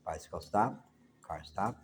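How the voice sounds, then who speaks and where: An older man from the United States with a very low, deep voice talks through a long reflective story in a loose, meandering way. He has a big voice, and faint background noise sits under the recording in his apartment. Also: unhurried. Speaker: vlogger. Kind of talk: reflective solo talk.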